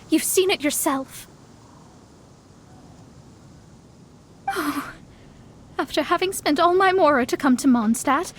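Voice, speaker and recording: High, dramatic young female astrologer. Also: fairly bright tone. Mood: gloomy, haughty. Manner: theatrical, haughty, easily flustered. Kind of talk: video game voice acting.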